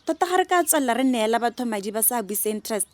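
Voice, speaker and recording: A woman playing a practical young vlogger and niece in a radio drama, with a Botswana accent and an expressive, code-switching delivery. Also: a little high, rapid-fire, lightly acted. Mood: concerned, insistent. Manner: expressive, switches between Setswana and English.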